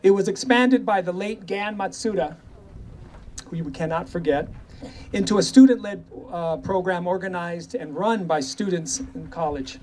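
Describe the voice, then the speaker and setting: An older man with a somewhat high voice speaks as a co-host at a live event. He addresses listeners informally, and faint background noise surrounds him. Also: normal speed, darkish tone. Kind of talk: informal thank-you speech. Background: faint ambient noise.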